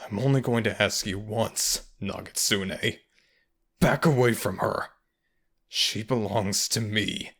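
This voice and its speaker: A man's voice: lowish voice, slow, a bit bright, slightly rough, heavily acted. A man playing a fiercely protective male kitsune guardian in an ASMR audio roleplay, speaking firmly and with commanding urgency. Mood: threatening.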